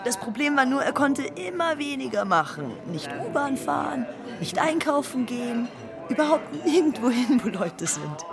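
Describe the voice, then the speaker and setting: A woman's voice: high-pitched, big pitch swings, normal speed, music in the background. An actress playing a down-to-earth, blunt woman who talks in a colloquial, matter-of-fact, sceptical way in a radio drama. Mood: confiding. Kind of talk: German radio drama dialogue.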